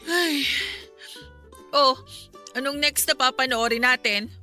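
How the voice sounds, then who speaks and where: A woman's voice: high-pitched, slow, bright, forceful tone, faint music behind, full character voice. A woman playing a sentimental young woman chatting casually with her close friend in a radio drama. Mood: casual, resigned.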